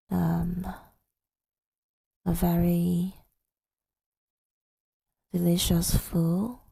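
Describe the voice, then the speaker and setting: A woman speaks very slowly in a soft, intimate ASMR murmur, with heavy vocal fry through her words. Her voice is mellow and affectionate, husky and often near a whisper. She is close to the mic, as if confiding in a partner. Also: on the low side, no room sound. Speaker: ASMR audio creator. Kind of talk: intimate ASMR girlfriend roleplay, telling about the day. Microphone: close microphone, dry sound.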